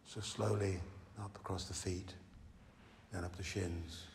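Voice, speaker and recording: Man, guided relaxation, deep voice, slow gentle delivery with pauses, echoing hall, faint background noise. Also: little pitch movement, vocal fry. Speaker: meditation teacher.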